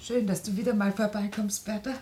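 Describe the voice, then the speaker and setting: An actress playing a kindly, plain-spoken middle-aged wife in a 1950s radio play. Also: female voice, medium pitch, fairly even intonation, brisk, dark tone, lightly acted. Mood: friendly, surprised. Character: homely, kindly, simple wife. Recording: faintly noisy old radio recording.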